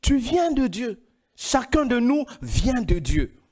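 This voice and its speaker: A male preacher from Congo delivering a sermon in a high voice that swings widely in pitch. He speaks slowly and deliberately, climbing into louder, emphatic runs. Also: sing-song, a crowd in the background. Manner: emphatic, rising into louder, higher passages.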